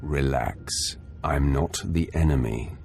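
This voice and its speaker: Very deep, gravelly Sith warrior. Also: male voice, slow pace, a little mellow, full character voice. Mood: calm, assured. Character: menacing, commanding Sith warrior, calm and dry. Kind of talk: video game voice acting.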